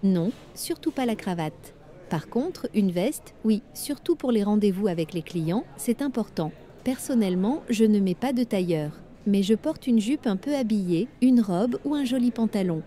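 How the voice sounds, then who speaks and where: A woman reading everyday French dialogues for learners, with clear, careful scripted delivery. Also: mid-range voice, animated, moderate pace, dark tone, lightly acted.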